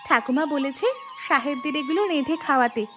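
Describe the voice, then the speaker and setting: Woman as a high-voiced young village girl. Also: brisk, over music, close to an everyday voice. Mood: earnest. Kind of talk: cartoon voice acting.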